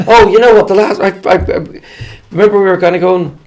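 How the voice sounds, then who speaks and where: Irish man with a creaky, gravelly voice. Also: high-pitched, darkish tone. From Ireland. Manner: halting, repeats phrases and explains words. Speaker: podcast co-host. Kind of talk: casual bilingual chat with on-the-fly translation.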